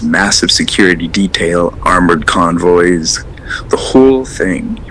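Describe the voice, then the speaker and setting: A man's voice: low voice, fairly bright tone, slight background noise, poor audio. A man acting as a hesitant, rambling caller to a late radio show in an audio drama, earnest and unsettled. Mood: earnest, absorbed. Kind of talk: audio drama, naturalistic phone-in call.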